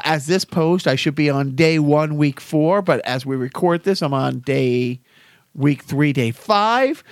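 A man's voice: fairly high pitch, dry recording, clean studio sound. A man co-hosting a comedy podcast, joking and rambling in casual chat. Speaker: podcast co-host.

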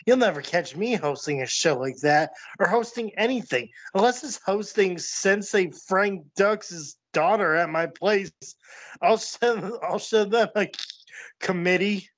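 A man's voice: high-pitched, measured pace, fairly bright tone. A man playing a lecherous, exasperated, wisecracking wrestler in a comedic audio play. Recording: muffled, music underneath.

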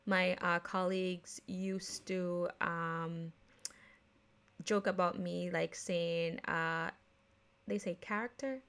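A woman's voice: on the low side, fairly even intonation, slow pace, fairly bright tone, clear, resonant tone. A woman from Jamaica with a Jamaican accent mixed with American speech, hosting her own podcast in a chatty, casual way, with many fillers and restarts and laughing at herself. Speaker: podcast host. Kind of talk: casual solo podcast talk on investing.

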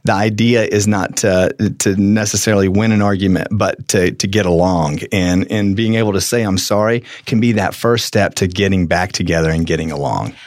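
A man's voice: sits low, normal speed, hardly any pauses, no echo. A middle-aged man co-hosting a casual studio talk show, earnest, often restarting his sentences and laughing easily. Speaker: co-host. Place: radio studio.

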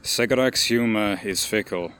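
A man's voice: on the low side, moderate pace, sharp tone. A man playing a stoic, disciplined alien warrior in an audio drama, speaking in terse, formal phrases. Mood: dryly teasing. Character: male Eldar warrior, stoic, disciplined, impatient but loyal.

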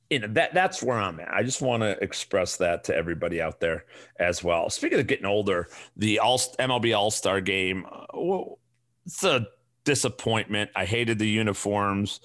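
A man's voice: fairly low pitch, lively intonation, normal speed, a bit bright. Blunt profane Chicago-accented man. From Chicago area. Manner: blunt, profane, rambling with restarts. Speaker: podcast host. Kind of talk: casual solo podcast rant.

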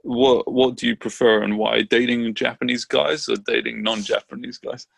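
A man co-hosting a podcast, asking direct questions in a steady way. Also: medium pitch, normal speed, vocal fry, no echo. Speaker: podcast co-host. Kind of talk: casual interview chat.

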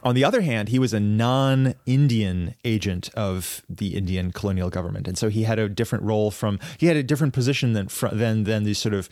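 A man on a podcast explaining a piece of history at length in a casual, thoughtful way, often pausing and restarting his sentences. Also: on the low side, a little mellow, dry recording, clean studio sound. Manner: thoughtful, halting, many fillers and restarts. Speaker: podcast co-host.

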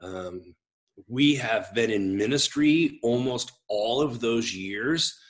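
Middle-aged man, a pastor, chatty interview guest, big expressive gregarious voice. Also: mid-range voice, slow. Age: 40s. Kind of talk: casual conversational interview.

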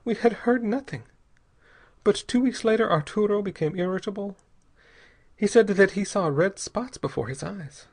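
A man voicing a terrified, despairing young married woman in an audiobook story, with a breathy, halting, pleading delivery. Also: sits high, darkish tone, pitched above the performer's own voice. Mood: anguished recounting. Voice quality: breathy, gasping.

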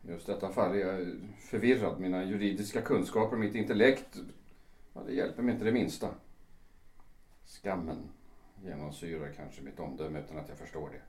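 An actor playing an ashamed, defensive lawyer in his late fifties in a radio drama, speaking formally and haltingly. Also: low-pitched, darkish tone, natural voice. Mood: remorseful, pleading. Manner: halting, formal, old-fashioned phrasing. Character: ashamed, hesitant 58-year-old lawyer, defensive brother. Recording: roomy, echoing interior.

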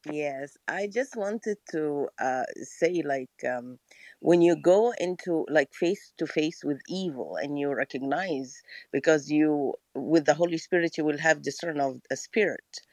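Slow low-voiced woman. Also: darkish tone, no room sound. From Egypt. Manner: halting, many fillers and restarts. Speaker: caller. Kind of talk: personal testimony in a call-in chat.

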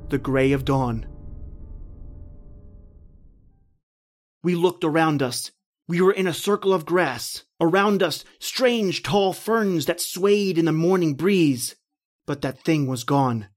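A man's voice: fairly high pitch, a little slow, soft background music, natural voice. A man playing a haunted, terrified narrator. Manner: intense, rising to panicked shouting. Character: terrified man narrating his own death. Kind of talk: old-time radio drama acting.

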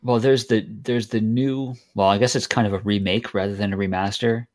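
A man's voice: on the low side, fairly quick, dry recording, studio quality. A man co-hosting a gaming podcast, chatting casually and hesitantly with frequent fillers. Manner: relaxed, hesitant, lots of ums. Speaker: podcast co-host.

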